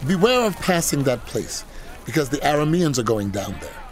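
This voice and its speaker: A man voicing an old-world prophet who gives calm, sure commands in a raised voice. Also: on the high side, normal speed, a little hiss or hum, voice pushed up. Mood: calm authority.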